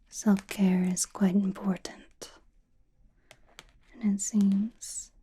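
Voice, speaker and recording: Casting: woman as knowing, gently teasing sorceress, ASMR roleplay. Dark, breathy whisper, even and soothing. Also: mid-range voice, slight background noise, natural voice. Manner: slow, deliberate, soothing. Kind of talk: ASMR roleplay, personal attention.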